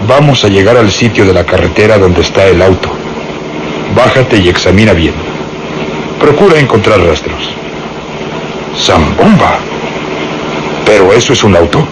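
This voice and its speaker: An actor playing a heavyset, gruff and decisive police inspector in a radio drama, brusque, commanding and easily irritated. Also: male voice, lowish voice, lively intonation, measured pace, long pauses. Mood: brisk, commanding. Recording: noisy radio drama recording.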